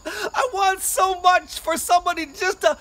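A woman storyteller voicing a sick grown man who pleads loudly and earnestly in a raised voice. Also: steady tone, a little slow. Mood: pleading. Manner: loud, high and pleading.

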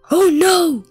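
A woman voicing a small, excitable, anxious girl frog from a picture book, with breathy gasps and eager exclamations. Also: very high-pitched, no room sound, voice pushed up, exaggerated voice.

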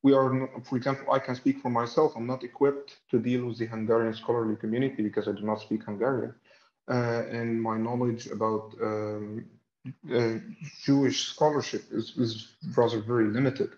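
A man's voice: mid-range voice, little pitch movement, normal speed, darkish tone. Roomy, muffled male academic on a call. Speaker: academic researcher, lecture presenter. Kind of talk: scholarly discussion and question answering. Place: indoor room on video call. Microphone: video call, sitting back from camera. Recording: dull, roomy video-call audio.

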